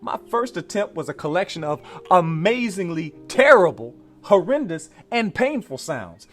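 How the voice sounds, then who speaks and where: American male storyteller, encouraging and emphatic, very lively delivery over music, for a children's read-along. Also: high-pitched, moderate pace. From Louisiana, USA. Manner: encouraging, emphatic, drawing listeners to join in.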